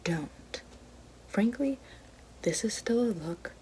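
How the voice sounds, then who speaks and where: A woman playing a bitter, sassy fallen evil fairy working a help line, in a breathy whispered ASMR roleplay with slangy sarcasm. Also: lively intonation, slow, a little hiss or hum. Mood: sassy, defensive. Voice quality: breathy whisper mixed with soft low speech.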